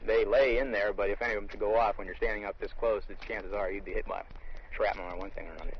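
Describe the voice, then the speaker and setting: Male soldier, fast talker, lowish voice, informal interview, on a dull field recording. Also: fairly even intonation, slight background noise.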